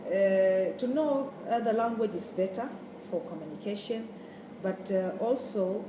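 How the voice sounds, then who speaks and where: Hesitant woman in an echoey room. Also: mid-range voice. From Tanzania. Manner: hesitant, many uh pauses and restarts. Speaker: secondary school principal. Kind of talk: casual interview answers. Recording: echoey room, far from mic, noisy.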